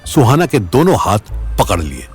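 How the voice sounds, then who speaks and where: A man narrates an audio story with drama and wide swings of intonation. His pitch sits in the middle, and soft music runs underneath. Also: huge pitch swings, normal speed, slightly rough. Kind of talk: dramatic scripted narration in Hindi. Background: faint background music.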